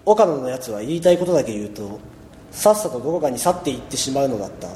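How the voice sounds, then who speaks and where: A man playing a laid-back, dryly sarcastic high school boy who narrates and acts in an amateur radio drama. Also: lively intonation, fast talker, mellow, natural voice. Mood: dry, matter-of-fact. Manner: dry, mildly sarcastic. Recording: echoey, long reverb.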